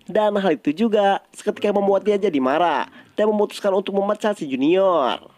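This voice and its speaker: A man's voice: sits high, a little fast, a little mellow. A man with an Indonesian accent narrating a story recap in a casual, colloquial storytelling style.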